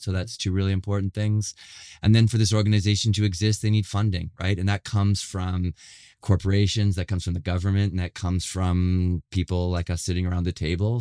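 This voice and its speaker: Very deep, mellow-voiced man. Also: no room sound, clean studio sound. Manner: explanatory, rhetorical "right?" tags. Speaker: agency executive, guest. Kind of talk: casual panel conversation.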